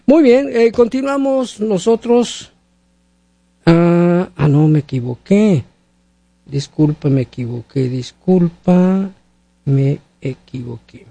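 Gravelly-voiced male radio host. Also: sits high, animated, slow, mellow, no room sound. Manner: chatty, laughs often, self-correcting. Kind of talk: casual radio chat.

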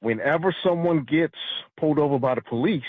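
A man from New York City calling into a radio show, speaking in a measured, matter-of-fact way. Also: a little high, husky, no room sound. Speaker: caller, retired federal officer. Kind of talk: phone-in commentary. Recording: tinny phone line.

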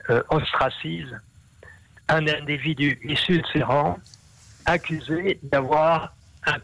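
A man's voice: on the high side, fairly even intonation, slow, gravelly, a bit bright, no echo, rough recording. A man explaining ideas at length in an interview, with many fillers and restarts. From half Brazilian. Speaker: interview guest, scholar.

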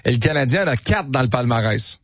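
A man with a Quebec French accent hosting a morning radio show, briskly reading out rankings and steering the chat. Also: medium pitch, animated, dry recording. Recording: dry, muffled radio sound.

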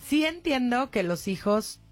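A woman with a high voice hosts a radio call-in show in a lively, gossipy way, calling her callers sweetheart. She is from Mexico. Faint music plays behind her. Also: a little slow. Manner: lively, gossipy, says "corazón" often. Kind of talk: casual radio chat.

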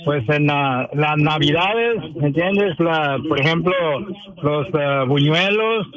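Halting northern Mexican man calling in. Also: a little high, fairly bright tone, dull audio. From Durango, northern Mexico. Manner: halting, folksy, checks for understanding. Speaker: radio caller. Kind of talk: casual call-in chat. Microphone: phone call to a radio show. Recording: radio playing back behind the call.

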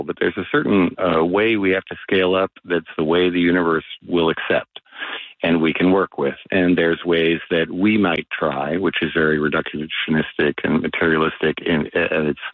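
Deep-voiced guy on the phone to a radio show, slow and earnest, tinny line. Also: no room sound. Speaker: radio guest or caller. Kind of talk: earnest abstract explaining.

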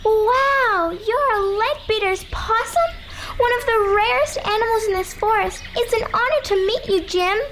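A woman's voice: extremely high pitch, measured pace, background noise, pitched above the performer's own voice. A woman voicing a polite, earnest young girl named Goldilocks in a children's story. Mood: excited.

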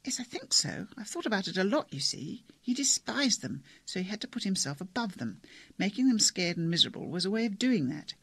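Narrator putting on a weepy seventeen-year-old girl, high and breathless, keeps saying sorry, dry close sound. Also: very animated, vocal fry, fairly bright tone, husky, dry recording, full character voice. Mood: earnest. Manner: high, breathless, rushed apologies. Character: tearful teenage girl, anxious and apologetic.

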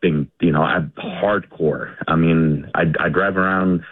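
A man from India in his forties calling into a sports radio show, rambling and repeating himself excitedly. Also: extremely low pitch, measured pace. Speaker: caller. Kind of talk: call-in radio chat. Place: home garage. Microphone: phone. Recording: tinny phone line.

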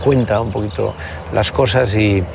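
Gravelly older man. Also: fairly low pitch, steady tone, moderate pace, noisy background. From Basque Country, Spain. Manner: reflective, many hesitations and restarts. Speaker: politician guest. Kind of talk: conversational interview.

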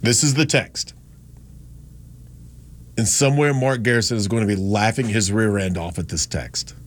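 A man's voice: fairly low pitch, normal speed. Male radio host, studio mic, rambling and self-mocking, casual chat. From Gastonia, North Carolina. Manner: rambling, self-deprecating, chatty. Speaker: radio talk show host. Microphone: studio microphone.

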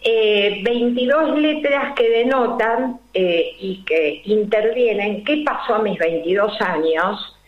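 An older woman with a Rioplatense accent talks slowly and carefully, pausing on fillers and asking herself rhetorical questions as she explains. The recording sounds roomy and a little dull. Her pitch sits in a middle range for a woman. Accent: Rioplatense Spanish. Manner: slow and deliberate, many fillers and rhetorical questions. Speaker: numerologist guest. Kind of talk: explanatory interview talk.

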